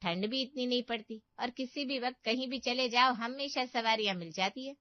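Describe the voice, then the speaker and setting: A woman voicing a boastful, sharp-tongued older sister in a cartoon, scolding and bragging. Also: a little high, moderate pace, dry recording, pitched above the performer's own voice, lightly acted. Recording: dull, muffled audio.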